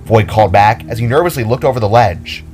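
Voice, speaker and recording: Low-voiced man narrating a story. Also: fast talker, darkish tone, soft background music. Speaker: narrator of his audio drama.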